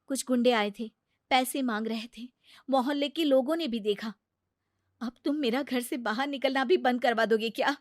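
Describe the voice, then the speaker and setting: A woman voicing a worried, outspoken young wife who argues and scolds in an animated Hindi moral story. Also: on the high side, moderate pace, no room sound, clean studio sound, natural voice.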